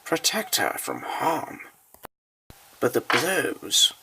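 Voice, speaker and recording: A man narrating a comic dub as a scripted dramatic story, grave and deliberate with theatrical pauses. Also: mid-range voice, wildly swooping pitch, creaky, bright, forceful tone, a little hiss or hum, low-quality recording.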